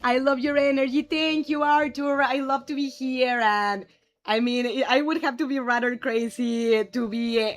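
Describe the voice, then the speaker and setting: A young woman from Mexico with a Mexican Spanish accent, chatting casually on a live stream and answering viewers' comments. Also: fairly high pitch. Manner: friendly, answers viewers' questions aloud. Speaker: livestreamer.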